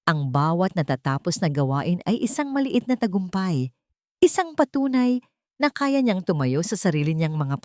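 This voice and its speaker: A woman narrating a scripted dramatic story as an audiobook, with expressive phrasing. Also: on the low side, dry recording, studio quality.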